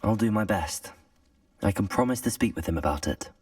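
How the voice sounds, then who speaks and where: A young man playing a calm, courteous teenage student in a video game, with a creaky voice and measured, articulate speech. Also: lowish voice, no room sound, recorded from a distance, natural voice. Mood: courteous, reassuring. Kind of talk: video game dialogue acting.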